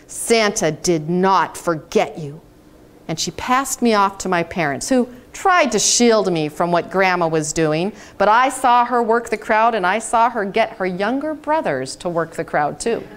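A woman in her fifties from the United States giving a storytelling keynote speech in a conference room, animated and dramatic. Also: medium pitch. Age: 50s. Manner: animated, dramatic, gesturing on stage. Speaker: keynote speaker. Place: hotel conference room, indoors.

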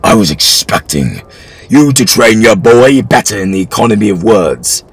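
A man reading aloud, voicing a scheming older English lord who speaks slowly and coldly. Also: sing-song, breathy edge, heavily acted. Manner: slow, low and cold.

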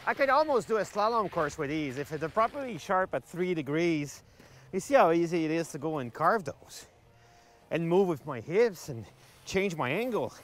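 A man's voice: on the high side, lively intonation, moderate pace. A middle-aged man gives an enthusiastic, conversational product review to camera as a ski reviewer, stressing words emphatically. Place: outdoors on a ski slope.

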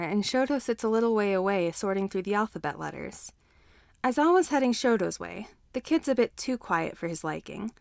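A woman narrates fiction from a script in a medium-pitched voice. The recording is close and dry, with very little room sound around her. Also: no room sound. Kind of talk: scripted narration, audiobook reading of fan fiction.

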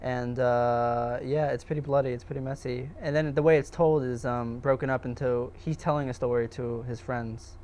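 A man's voice: medium pitch, steady tone, moderate pace, hardly any pauses, a little mellow, a little hiss or hum. A young man, a student filmmaker, answering interview questions casually in a hesitant, self-deprecating way. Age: young adult.

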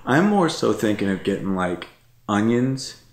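A man's voice: on the low side, sing-song, a little slow, echoey room. A man in his thirties chatting casually on a couch at home, rambling and repeating himself with lots of fillers. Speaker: vlogger. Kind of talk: casual chat. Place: living room couch at night.

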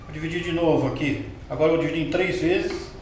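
A man with a Brazilian Portuguese accent hosts a home cooking lesson, chatty and patient, asking the viewer what comes next as he works. His voice sits fairly high. It rings around a hard-walled kitchen and is picked up from a distance. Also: quick pace, darkish tone, faint music behind. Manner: chatty, explains step by step, asks the viewer questions. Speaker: cooking show host. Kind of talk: casual cooking demonstration. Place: home kitchen with tiled wall, indoors. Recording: roomy kitchen sound from a distance.

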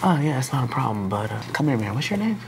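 A man's voice: mid-range voice, sing-song, quick pace, slight background noise. An American man in his thirties playing a blunt, earnest graduate teaching assistant instructing a class in a casual, plain-spoken way. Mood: confiding. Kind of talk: classroom instruction, acted dramatization. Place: classroom lab, indoors.

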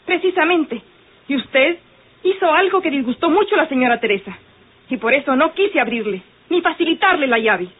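An actress playing a prim, easily offended building manageress, stiffly formal and husky, in an old radio drama. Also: female voice, high voice, expressive, normal speed. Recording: tinny vintage radio recording.

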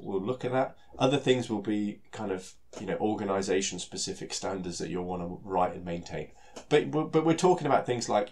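A British man with a heavy cold talks through a technical presentation, his voice nasal and stuffy. His voice sits on the lower side, and he speaks in a relaxed way, leaning on fillers and repeating words as he goes. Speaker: solution architect giving a technical talk.

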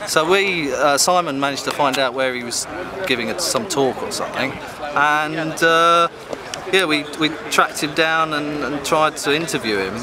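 English man, thirties, lightly high voice, hesitant casual storytelling, outdoor crowd noise. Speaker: activist interviewee. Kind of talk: casual recounting. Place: open field outdoors near a crowd. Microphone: handheld camera at close range.

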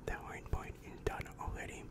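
A young man voicing a weary, exasperated demon guidance counselor in an ASMR roleplay, whispering breathily and close to the microphone with slow, repetitive, patronizing reassurance. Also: natural voice. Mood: calm, officious. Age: young adult. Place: small dim room indoors. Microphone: large microphone very close to mouth.

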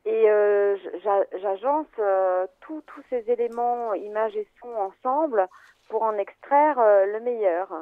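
A woman film editor speaking over a thin, phone-like line that sounds dry, with no room echo. She talks slowly and thoughtfully, pausing on fillers and restarting her sentences as she explains her work. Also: medium pitch. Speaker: film editor, interview guest.

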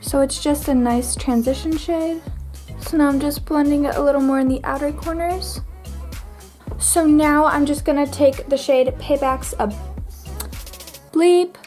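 A woman's voice: sits high, steady tone, a little slow, long pauses, a little mellow, soft background music. A teenage girl chatting through her makeup routine at her bedroom vanity, bubbly and full of "like", getting over a cold. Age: teens. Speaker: beauty vlogger. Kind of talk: makeup tutorial chat.

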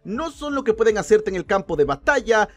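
A man's voice: sits high, big pitch swings, a little fast. A man narrating a lore video in a playful, mock-dramatic way. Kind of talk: comedic scripted narration.

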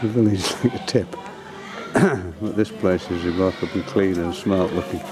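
A man casually narrating his travel video outdoors on a river trip, pausing with fillers. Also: deep voice. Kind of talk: casual commentary while filming. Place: outdoors on a river boat and village. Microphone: camera mic. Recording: loud outdoor background noise.

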